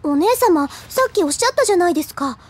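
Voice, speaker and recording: A woman's voice: very high-pitched, expressive, quick pace. A woman playing an earnest, deferential younger schoolgirl in an audio drama, polite, sweet and a little timid. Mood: earnest, determined. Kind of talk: Japanese audio drama acting.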